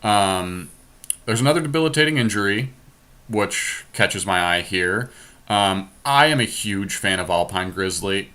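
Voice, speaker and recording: Guy with a lowish but punchy bright voice, casually thinking out loud thru card draft picks. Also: expressive, unhurried. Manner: thinks aloud, conversational, analytical. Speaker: podcast host and player. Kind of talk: casual live card game draft commentary.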